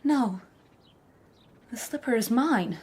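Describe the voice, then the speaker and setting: An actress playing an earnest, protesting young upper-class daughter in a period detective radio drama. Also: female voice, on the high side, lively intonation, slow pace, darkish tone, husky, full character voice. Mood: pleading. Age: young adult.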